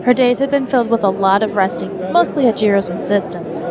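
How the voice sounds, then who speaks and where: A woman's voice: medium pitch, a little fast, crowd noise. A woman reading scripted story narration as the narrator of an audio drama.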